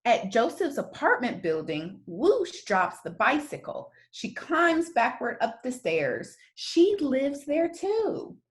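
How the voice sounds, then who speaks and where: Woman, children's storyteller, unhurried pace, swooping intonation, deliberate emphasis, expressive read-aloud. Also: high voice. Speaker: storyteller reading a picture book aloud to children.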